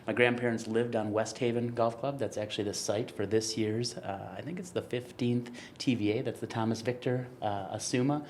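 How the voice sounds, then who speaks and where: An American man co-hosting a podcast, chatting casually about his own story in informal, halting phrases with a joking streak. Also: mid-range voice, steady tone, darkish tone. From United States, grew up in Wisconsin. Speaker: podcast co-host and golf business co-founder. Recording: faint background ambient noise.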